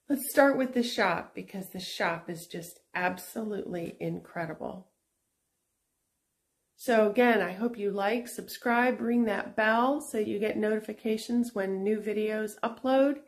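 A middle-aged woman talks slowly and chattily to her followers. She fills her sentences with little pauses and restarts. Her voice sits at an ordinary mid pitch, and she is recorded on a phone inside a small shop. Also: gravelly. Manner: chatty, unhurried, with frequent fillers and restarts. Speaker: antique shop owner and channel host. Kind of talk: casual vlog walkthrough. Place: indoor antique shop booth.

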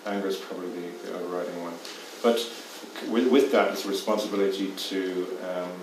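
A man's voice: low-pitched, fairly even intonation, brisk, noisy background. A man in his fifties with an Irish accent, a poet answering questions on stage, hesitant and full of fillers and repeats. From Ireland. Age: 50s. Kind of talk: panel Q&A answers. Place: stage in a dim theatre hall. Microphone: far camera. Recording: echoey hall from far off.

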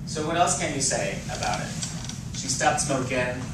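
A man's voice: mid-range voice, expressive, normal speed, heavy background noise. Young man teaching, echoey classroom. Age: young adult. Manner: hesitant, simple explanations with fillers. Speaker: teacher trainee. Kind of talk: practice lesson at a chalkboard. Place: large classroom. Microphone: far camera. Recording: echoey room from far off.

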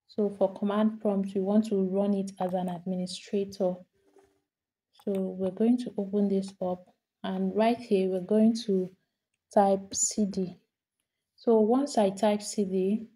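Calm woman. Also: medium pitch. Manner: steady step-by-step instruction, repeats each step. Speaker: tutorial host. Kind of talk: screen-recorded software tutorial.

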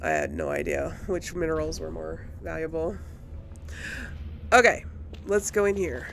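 A woman's voice: sits low, animated, a little slow, creaky. A woman doing casual let's play commentary, chattily thinking aloud as she plays. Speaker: gaming video host. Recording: loud game noise behind voice. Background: fantasy video game sound.

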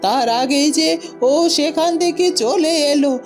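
A woman's voice: high-pitched, a little slow, soft background music. A woman voicing an anxious, pleading old aunt in a melodramatic cartoon fairy tale.

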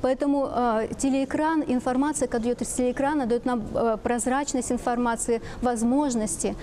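A woman's voice: on the high side, steady tone, a little fast, warm tone, slight background noise. A middle-aged woman speaking as an expert psychologist in a studio discussion, explaining things in a didactic way. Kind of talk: panel discussion in a talk show. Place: TV studio with seated audience.

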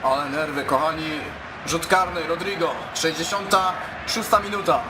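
A man doing excited live gameplay commentary, full of exclamations and repeated cries. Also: fairly high pitch, normal speed, background noise. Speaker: gaming YouTuber.

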